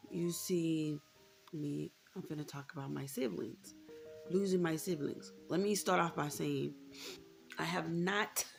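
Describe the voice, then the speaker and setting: Lower-pitched, creaky American woman in her thirties. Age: 30s. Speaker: vlogger. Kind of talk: candid, informal talk to viewers. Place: indoors, room with a closet.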